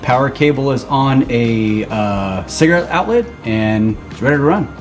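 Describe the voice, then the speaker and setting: A man's voice: medium pitch, lively intonation, moderate pace, faint music behind. A man talking casually through a hands-on how-to in plain, informal steps, as the host of a vlog. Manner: informal, plain-spoken step-by-step explaining.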